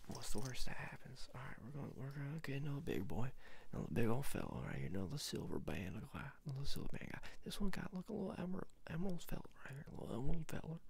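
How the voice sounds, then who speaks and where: A man playing a folksy, rambling ring salesman in an ASMR roleplay, speaking in a gravelly, breathy half-whisper and repeating his gentle pitch. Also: sing-song, racing, few pauses, a little hiss or hum. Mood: easygoing, chatty. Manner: rambling, repetitive, folksy, soft-pedalled selling.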